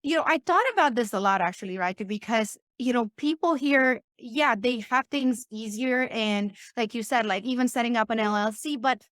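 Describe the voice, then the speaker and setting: A woman from Romania, a guest on a podcast, talking in an excitable, bubbly way and laughing often. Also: fairly high pitch, moderate pace, hardly any pauses, no echo, clean studio sound. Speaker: podcast guest, business owner. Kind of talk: casual interview talk.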